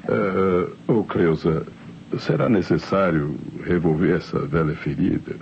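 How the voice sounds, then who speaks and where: A man's voice: low-pitched, measured pace, creaky. An actor playing a defensive married man giving hesitant, emphatic testimony in old radio drama style. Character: defensive married man, neighbour who saw a flying saucer. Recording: muffled, faintly noisy old radio recording.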